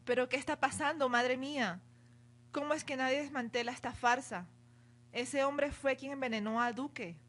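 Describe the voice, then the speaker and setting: A woman's voice: high voice, steady tone, normal speed, a bit bright. A woman playing a distraught, pleading woman falsely accused, in a radio drama. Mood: desperate. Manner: anguished, pleading.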